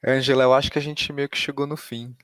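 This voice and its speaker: A man's voice: medium pitch, flat delivery, quick pace, a bit bright, no echo. Man, Brazilian Portuguese accent, podcast host, casual, tentative phrasing, very dry recording. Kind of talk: casual conversational interviewing.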